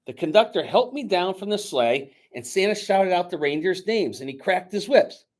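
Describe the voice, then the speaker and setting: A man reading a picture book aloud dramatically to children, pausing to coax them with questions like a teacher. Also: high-pitched, expressive. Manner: dramatic, teacherly, coaxing young listeners with questions.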